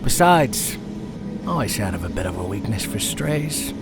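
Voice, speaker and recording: A man's voice: on the low side, sing-song, moderate pace, over loud music. A man playing an easygoing, good-natured spaceship crewman in a sci-fi audio drama, laid-back, wry and friendly.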